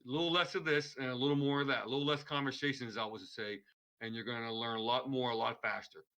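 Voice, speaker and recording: Guy on a podcast, bright sharp voice, earnest and rambly. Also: mid-range voice, steady tone, fast talker. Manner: earnest, rambling, restarts a lot. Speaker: streamer guest. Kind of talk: casual chat.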